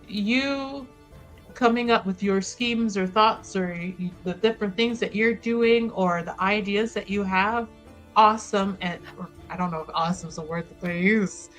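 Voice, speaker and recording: A woman's voice: mid-range voice, a little slow, a bit bright, faint music behind. A woman playing a tabletop roleplaying game, improvising casual in-character dialogue, hesitant and repeating her phrases.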